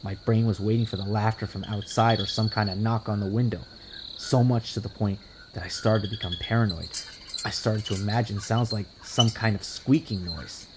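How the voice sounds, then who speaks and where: A man narrating a first-person horror story in a casual, expressive, conversational way. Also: fairly low pitch, background noise. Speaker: creepypasta narrator. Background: music under the voice.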